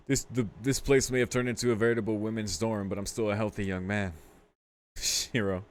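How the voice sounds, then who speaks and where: Low-voiced man, casual game read-aloud. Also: steady tone, moderate pace. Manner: amused, sweary, informal asides. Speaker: let's play host reading a visual novel aloud. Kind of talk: visual novel read-aloud with casual commentary.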